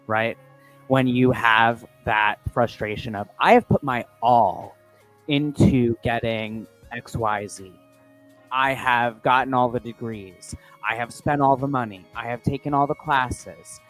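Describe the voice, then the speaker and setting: A man speaking as a spiritual coach in an effusive, emphatic motivational style, with dramatic pauses and repetition. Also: mid-range voice, animated, a bit bright, faint music behind. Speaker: spiritual manifestation coach and host. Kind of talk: spiritual motivational talk to listeners.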